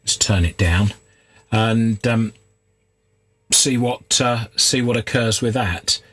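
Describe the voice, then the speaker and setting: A man giving an unscripted, halting workshop commentary, thinking aloud as he works at a repair bench. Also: fairly low pitch, loud music behind the voice. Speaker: hobbyist radio repairer and video host. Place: indoor repair workbench. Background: loud noise, radio and test-tone sounds.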